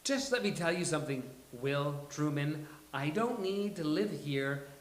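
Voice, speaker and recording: A man's voice: a little high, expressive, measured pace. A man playing a flamboyant, indignant, theatrical man in a sitcom table read, with sassy, exclamatory delivery. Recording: roomy live table read.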